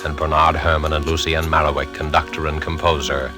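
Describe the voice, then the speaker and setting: A man narrating a 1940s radio suspense series in a solemn, measured, theatrical and formal style. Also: extremely low pitch, flat delivery. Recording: old radio recording, prominent music.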